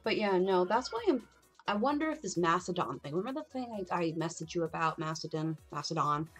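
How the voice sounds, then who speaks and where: A woman with a husky, gravelly voice chatting casually on a livestream, rambling and reading out messages. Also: on the low side, very animated, brisk, soft background music. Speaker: co-host of a morning livestream.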